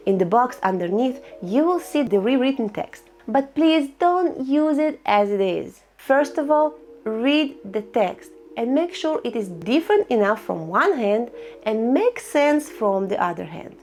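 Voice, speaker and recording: Female tutorial host, fairly high voice, instructional and a bit cheeky, soft music bed. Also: very animated, a little slow. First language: Russian. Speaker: online income tutorial host. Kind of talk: screen-recorded tutorial voice-over.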